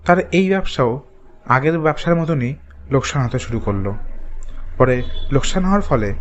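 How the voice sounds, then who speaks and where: A man's voice: mid-range voice, animated, normal speed, highs cut off, a little hiss or hum. A man narrating a scripted village moral tale as a storyteller.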